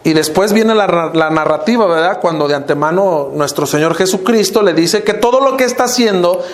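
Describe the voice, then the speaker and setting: An older man with a Mexican accent preaches a sermon, his voice sitting fairly high. He keeps addressing his listeners as brothers and lets his pitch sink at the close of each phrase. The sound carries the echo of a roomy church hall. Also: animated, a little fast. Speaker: minister.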